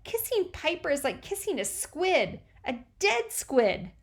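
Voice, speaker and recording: A woman doing a shrill bossy seven-year-old girl. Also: sing-song, exaggerated voice. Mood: shrill scolding. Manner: loud, scolding, drawn-out words.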